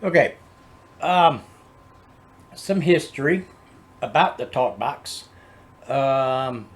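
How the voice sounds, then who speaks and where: A man's voice: medium pitch, animated, very slow. A man hosting a music reaction channel, chatting casually and explaining things in a rambling, unscripted way. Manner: rambling, loosely improvised.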